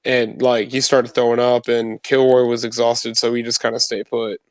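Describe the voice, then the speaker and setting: A man's voice: mid-range voice, little pitch movement, moderate pace, no echo. Casual man telling a story, dry close sound. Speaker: tabletop roleplay player. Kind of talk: casual in-character tabletop roleplay chat.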